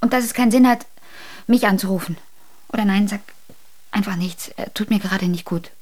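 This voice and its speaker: A woman acting a prickly young woman in a radio play. She sounds guarded and listless, with short defensive replies. Her pitch is average and the delivery natural. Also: fairly quick, a little mellow, natural voice. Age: young adult. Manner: terse, defensive.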